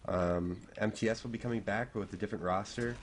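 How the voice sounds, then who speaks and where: A man's voice: lowish voice, fast talker, slight background noise. A young man commenting on a live video game match in an easygoing way. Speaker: esports caster.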